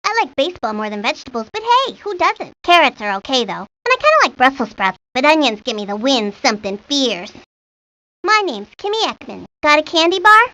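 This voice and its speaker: A woman's voice: sits high, big pitch swings, brisk, pushed and bright, full character voice. A woman voicing a feisty, chatty tomboy of a little girl in a children's cartoon game. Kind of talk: cartoon game character lines. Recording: dull lo-fi old game audio.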